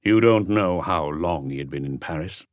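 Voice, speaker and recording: A man playing a patient, calm middle-aged police inspector who questions in a measured, restrained way in an audiobook. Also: sits low, no room sound, clean studio sound, voice pushed down. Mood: probing.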